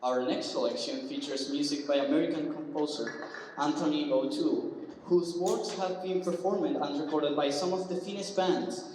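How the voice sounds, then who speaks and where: A man's voice: fairly high pitch, fairly even intonation, normal speed, darkish tone, slight background noise. A young man from Cuba, a student, reading a formal introduction at a band concert. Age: young adult. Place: large concert hall. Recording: echoey hall from far off.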